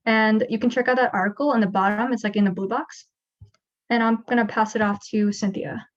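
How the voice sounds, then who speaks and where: A woman's voice: on the high side, fairly even intonation, a little fast, dull audio. A woman casually presenting at a workshop, chatty, before handing off to the next speaker.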